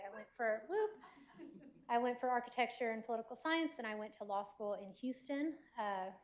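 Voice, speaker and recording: A woman from Texas introducing herself as a city manager candidate at a public council meeting, speaking loudly and in an upbeat, friendly way. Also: a little high. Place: council chambers.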